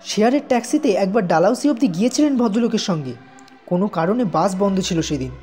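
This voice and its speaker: A man narrating an audio story in a dramatic, suspenseful and expressive way. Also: sits high, fast talker. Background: background music.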